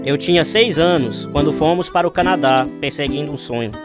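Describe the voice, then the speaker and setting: Higher-voiced actor as a man remembering childhood. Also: fairly quick, over loud music, close to an everyday voice. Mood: reflective. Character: Breno, earnest man retelling his own childhood. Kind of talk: first-person dramatized narration.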